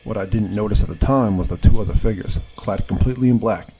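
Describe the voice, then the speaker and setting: A man's voice: on the low side, fast, warm tone, soft background music, close to an everyday voice. An actor playing a proud, indignant Southern crossbowman of the 1870s in an audio drama, with formal, theatrical speech. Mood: grave narrating. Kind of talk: audio drama with first-person narration.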